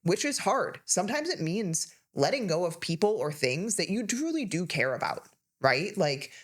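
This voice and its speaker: A woman's voice: lowish voice, very animated. Emphatic woman in her thirties. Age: 30s. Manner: emphatic, lists symptoms rapidly. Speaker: podcast co-host, health coach. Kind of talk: casual health podcast talk.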